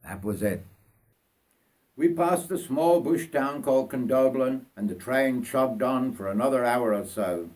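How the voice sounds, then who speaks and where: An older man from North Yorkshire reading his own life story as narration, in a measured, folksy storytelling manner. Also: medium pitch, lively intonation, darkish tone. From North Yorkshire, England.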